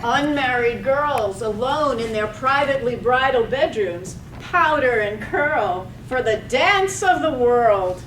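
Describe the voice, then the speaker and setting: A woman's voice: on the high side, lively intonation, slow, hardly any pauses, fairly bright tone. A woman playing a lyrical, wry narrator in a staged reading of a poetic radio drama. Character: lyrical, wry woman storyteller of a small town. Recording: echoey room, distant, faint noise.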